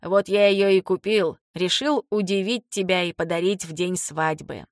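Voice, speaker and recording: A woman voicing an ailing older father in an audiobook, blunt, teasing and fond, speaking slowly and haltingly. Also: lowish voice, fairly even intonation, dry recording, clean studio sound, close to an everyday voice. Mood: firm, fond. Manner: slow, deliberate, halting for words. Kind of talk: audiobook character dialogue.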